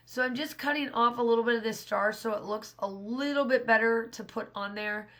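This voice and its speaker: A woman in her thirties with a hoarse, scratchy voice, casually talking through a scrapbooking craft project in a rambling way. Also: a little high, fairly quick, a bit bright. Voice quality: rambling, restarts often. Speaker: scrapbooking channel host. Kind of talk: casual voice-over narration of a craft process.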